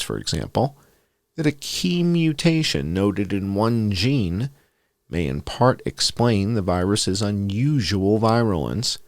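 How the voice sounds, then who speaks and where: A man with a low voice narrates a written piece as bedtime reading. His pitch swings widely as he goes, and the sound is close and dry, with very little room. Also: no echo. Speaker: host reading an essay aloud. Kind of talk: scripted narration, read aloud as bedtime story.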